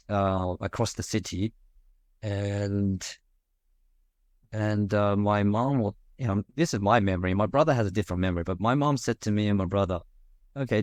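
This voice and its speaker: A man hosting a podcast, chatting thoughtfully with many fillers and pauses. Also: fairly low pitch, darkish tone, no echo, studio quality. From Korea. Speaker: podcast host. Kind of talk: casual conversation. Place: Australia.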